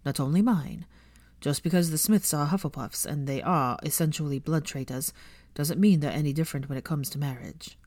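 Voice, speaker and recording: A woman's voice: deep voice, a little fast, a little mellow, dry recording. A woman voicing a haughty young wizard man in a story reading, scoffing and dryly sardonic. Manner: scoffing, dry.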